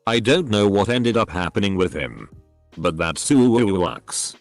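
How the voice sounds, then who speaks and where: Low-voiced man narrating online stories over music. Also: animated, slow pace.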